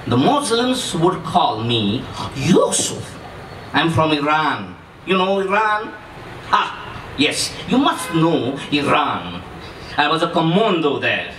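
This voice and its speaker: Guy doing a boastful ex-commando in a story reading, highish voice, distant mic. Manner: emphatic, repetitive, broken grammar with questioning tags. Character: menacing, boastful Iranian ex-commando, volatile and emphatic. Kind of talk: dramatic character reading from a novel. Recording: distant echoey room, faint noise.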